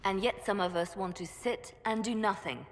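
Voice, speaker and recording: An actress playing an assertive, impatient council advisor in a video game, pointed in manner, with a long hall echo. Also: female voice, medium pitch, moderate pace, natural voice. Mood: insistent, exasperated. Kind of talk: video game character acting.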